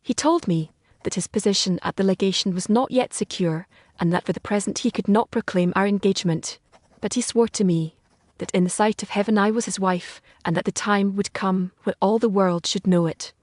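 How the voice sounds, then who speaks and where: A woman's voice: mid-range voice, a little fast, a little mellow, dry recording, close to an everyday voice. Earnest, wounded young Victorian governess, played by a woman. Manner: formal, earnest, confessional. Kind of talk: dramatised audiobook character acting.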